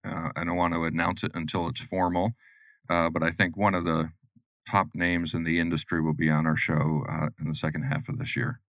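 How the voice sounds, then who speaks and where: A middle-aged man hosts a business podcast in a deep, low voice. He reads sponsor notes and introduces his guest in an upbeat, promotional way, with a few uhs. The recording sounds dry, with no room around it. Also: level tone, a little fast, a bit bright, no room sound. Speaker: podcast host. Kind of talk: podcast host reading sponsor notes and interviewing.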